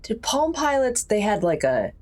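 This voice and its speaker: A woman's voice: a little high, sing-song, normal speed, a little mellow. A woman player in casual game-table chat, playful and thinking aloud.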